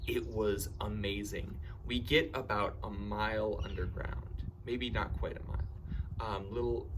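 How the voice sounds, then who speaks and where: A man telling personal stories in an animated, theatrical way, acting out bits of dialogue with excitement. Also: fairly low pitch, measured pace, background noise. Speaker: camp storyteller and science educator.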